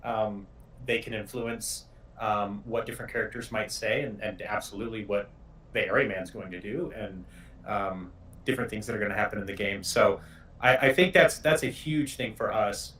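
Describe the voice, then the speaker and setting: A man who develops games chats casually in an interview. His voice sits on the low side and is picked up some way from the microphone. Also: fairly even intonation, moderate pace, a bit bright, slight background noise. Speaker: game developer. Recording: distant mic.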